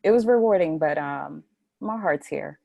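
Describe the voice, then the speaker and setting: Low, creaky-voiced woman, softly mellow. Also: animated, normal speed, phone-quality sound. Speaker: acting student. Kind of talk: casual chat about herself.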